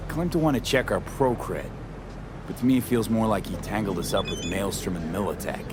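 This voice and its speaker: With music behind him, a man acts a young merc from a video game, pitched low. He is terse, tough and streetwise, getting straight to the point. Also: fairly quick, natural voice. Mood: matter-of-fact. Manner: clipped, blunt, streetwise.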